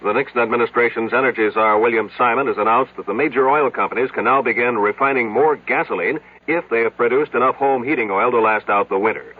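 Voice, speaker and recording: Husky American radio newsman. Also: mid-range voice. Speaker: radio news reporter. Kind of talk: 1970s scripted radio news read. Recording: dull old radio broadcast.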